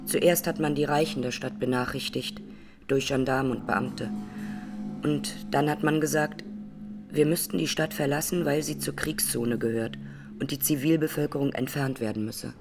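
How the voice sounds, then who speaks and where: An actress playing an Armenian woman in her twenties, a massacre survivor giving measured, sober testimony in a radio drama. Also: very deep voice, fairly even intonation, over music. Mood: grave, sober. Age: 20s.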